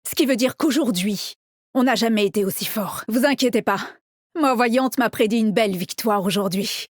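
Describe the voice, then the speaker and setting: A woman's voice: fairly high pitch, no echo, studio quality, natural voice. An actress voicing a young, cocky and upbeat female agent in a tactical shooter game, with short, confident, teasing callouts. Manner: confident, teasing, brisk delivery. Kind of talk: video game voice lines, short punchy callouts.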